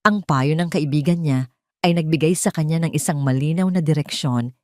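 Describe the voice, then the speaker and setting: A woman's voice: low voice, moderate pace, no echo, clean studio sound. A Filipino woman narrating a scripted audio drama in a dramatic storytelling style.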